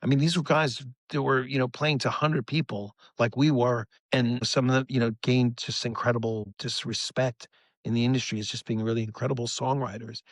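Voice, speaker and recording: A man's voice: medium pitch, steady tone, fairly quick, no room sound. A middle-aged American man chatting casually as an interview guest, informal and rambling, with enthusiasm. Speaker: guest musician, rock band singer-songwriter. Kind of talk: casual chat.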